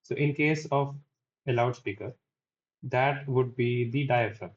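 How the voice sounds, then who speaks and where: A young adult man presents like a student giving a technical talk. His delivery is halting, and he often tags his points with a checking "right?". His middle-pitched voice comes through a slightly muffled, lo-fi recording. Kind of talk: technical lecture explanation. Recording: dull, lo-fi microphone recording.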